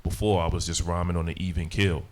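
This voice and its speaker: A man with a deep voice, a rapper talking casually in an interview, full of slang and repeated "you know what I'm saying". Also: level tone, fast, darkish tone. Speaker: rapper, guest.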